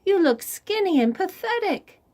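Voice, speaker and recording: A woman's voice: very high-pitched, big pitch swings, a little slow, a little mellow, voice pushed up, exaggerated voice. A woman voicing a sweet, kindly little male chameleon in a children's storybook read-aloud. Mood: concerned calling.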